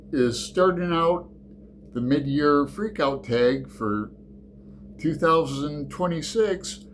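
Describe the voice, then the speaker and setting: Rambling, halting man, slow talker. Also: mid-range voice, a little hiss or hum. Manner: rambling, halting, full of restarts. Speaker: book channel host. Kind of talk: casual chat.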